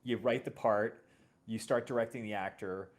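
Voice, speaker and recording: A man with an American accent and a fairly low voice. He talks casually as a host and series creator, telling anecdotes in a loose conversational style. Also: fairly even intonation, moderate pace. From Brooklyn, New York.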